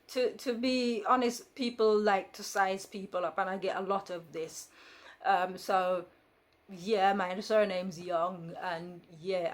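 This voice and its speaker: Frank woman in her fifties, rambling. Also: fairly low pitch. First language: Jamaican Patois. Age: 50s. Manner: rambling, many fillers and restarts; frank and firm. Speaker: vlogger. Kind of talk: casual storytelling monologue.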